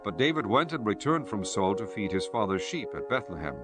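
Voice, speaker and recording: A man giving a solemn, measured dramatized narration of scripture as a storyteller. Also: lowish voice, big pitch swings, music in the background.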